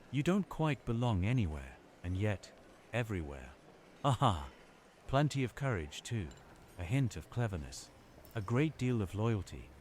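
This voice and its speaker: An ancient magical hat, voiced by a man, musing and knowing. The deep voice rises and falls theatrically in an audio drama, over faint noise. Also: darkish tone.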